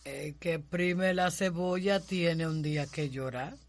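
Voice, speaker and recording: A woman's voice: on the low side, measured pace. An actress playing a wise, sly, folksy middle-aged mother in a Cuban radio drama, drawling knowingly in a rural Cuban accent. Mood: knowing, teasing. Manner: drawling, knowing, proverb-laden.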